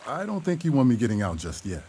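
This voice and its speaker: An actor playing a gentle, forthright castaway man in love, with a measured and sincere delivery, in a period audio drama. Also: mid-range voice, very animated, slight background noise. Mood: playful.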